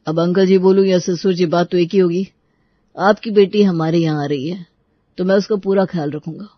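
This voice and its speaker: A man playing a smooth-talking, entitled, money-minded young groom in a story drama. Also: sits high, steady tone, normal speed, dry recording, muffled recording, close to an everyday voice. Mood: slyly polite.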